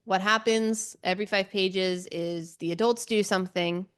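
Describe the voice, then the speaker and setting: A woman's voice: medium pitch, steady tone, moderate pace, crowd noise. Chatty woman recapping a book casually. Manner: chatty, informal asides, giggly. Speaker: podcast co-host.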